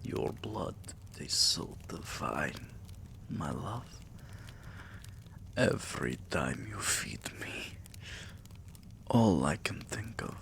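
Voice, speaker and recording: A man playing a tender, possessive vampire king in an intimate ASMR roleplay, murmuring soothingly and often whispering, with a deep, gravelly voice. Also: bright, forceful tone, full character voice. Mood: sated, praising.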